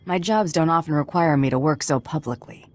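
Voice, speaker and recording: Cool, dry bounty hunter. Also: female voice, sits low, brisk, a little mellow. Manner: dry, terse. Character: cool, tough female bounty hunter, businesslike and sardonic. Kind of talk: video game character acting.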